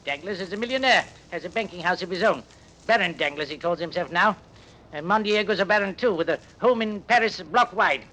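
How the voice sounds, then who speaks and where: An actor playing a gossipy, bitter tailor who tells tales eagerly in a 1930s radio drama. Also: male voice, high-pitched, expressive, a little fast, sharp tone, poor audio. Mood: indignant, gossipy.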